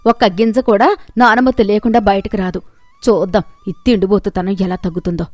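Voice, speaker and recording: A woman voicing a stern, domineering older mother-in-law in a story, scolding loudly and sharply. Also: mid-range voice, steady tone, brisk, no echo, lightly acted. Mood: angry scolding.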